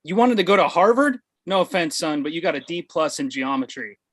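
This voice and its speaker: A woman's voice: lowish voice, animated, brisk, no room sound. A woman playing a blunt, snide sixty-year-old father who challenges people, in a screenplay table read.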